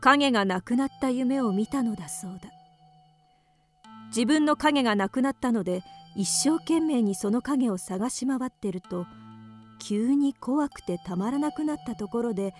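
High-voiced woman narrating over music. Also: lively intonation, moderate pace. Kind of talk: scripted narration of a Japanese radio drama horror story.

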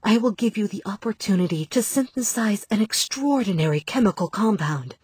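A woman voicing a devout, sorrowful nun in a video game, reading letters to her son in a soft, tender, solemn, pleading voice. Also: medium pitch, animated, fairly quick, no room sound. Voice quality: breathy, soft. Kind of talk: letters read aloud in game cutscene.

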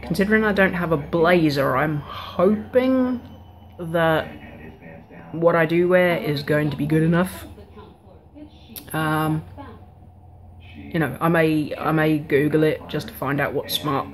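A woman's voice: sits low, slow pace, pauses often. A woman, chatting casually to camera in a hesitant, rambling way in a living room. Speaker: vlogger. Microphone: camera or webcam at arm's length.

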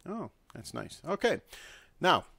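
Male tutorial presenter, gravelly, halting and unscripted, in a dry close recording. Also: fairly high pitch, big pitch swings, sharp tone, no echo.

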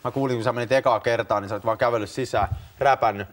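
A man's voice: medium pitch, steady tone. A man in his thirties interviews casually as a talk show host, conversational and chiming in with short prompts. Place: TV studio with small audience.

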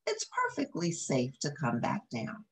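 A gentle storybook lady dog, as a woman acts her out, sweet and caring. She coos and pleads with a lively, swooping pitch and a soft husk. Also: measured pace, husky, full character voice. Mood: soothing.

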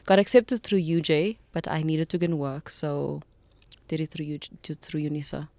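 A woman in her thirties with a South African accent, a guest telling her story in a podcast studio, lively at times and quiet when serious. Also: low voice, moderate pace, no room sound. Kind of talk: casual interview conversation. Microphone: boom mic on desk.

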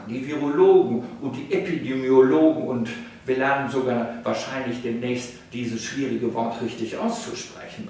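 Older professor in an echoey room. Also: male voice, mid-range voice, darkish tone, slight background noise. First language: German. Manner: formal, rhetorical, with frequent hesitations. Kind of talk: freely spoken video lecture. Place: indoor salon room, seated on a sofa. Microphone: camera at a distance. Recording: very echoey room, distant mic.

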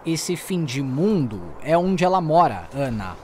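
A man's voice: on the high side, very animated, unhurried, slight background noise. A man playing an exasperated, nagging journalist partner who scolds his colleague in an audio drama. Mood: annoyed.

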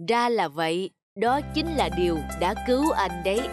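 An actor voicing a solemn old male Taoist sage in an animated folk tale, speaking gravely and with measured words. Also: medium pitch, over music, lightly acted. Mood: relieved, gentle.

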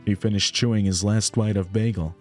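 Young guy reading a visual novel, low voice, dry sound, music behind. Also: fairly even intonation, brisk, a little mellow. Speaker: narrator.

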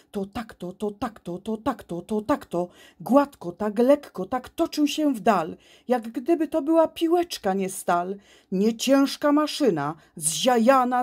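A woman recites children's verse in a theatrical way. Her voice sits somewhat high and moves with a lively, swooping melody. She takes it very slowly, with deliberate, dramatic pauses. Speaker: poem reader. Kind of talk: expressive children's poetry recitation.

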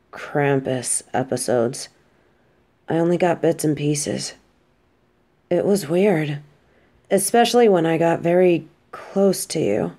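A woman playing a casual, affectionate, teasing supervillain who gets flustered, speaking haltingly and expressively in an audio drama. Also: low voice, warm tone, exaggerated voice. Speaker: voice actress in an audio roleplay. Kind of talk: intimate scripted audio drama addressed to a listener.

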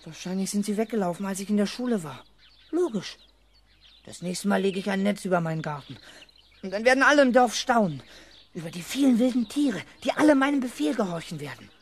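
An actor playing a cocky, scheming schoolboy who talks boastfully and emphatically in a 1970s children's radio play. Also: male voice, sits high, animated, brisk, slight background noise. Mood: boastful, sulky. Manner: boastful, emphatic, self-assured. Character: cocky, scheming young schoolboy with big fantasies.

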